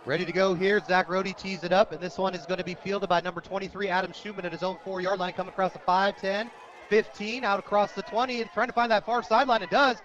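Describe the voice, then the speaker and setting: A man calling live high school football play-by-play for radio, describing each moment in detail. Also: flat delivery, fast. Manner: descriptive, rising to shouts on big plays. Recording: dull, lo-fi radio broadcast.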